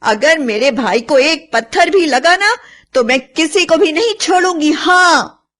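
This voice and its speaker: A woman's voice: sits high, animated, unhurried, a bit bright. A woman voicing a protective, devoted sister and young mother in a children's story, speaking earnestly and with emphasis. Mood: fierce warning. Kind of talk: dramatised children's story voice acting.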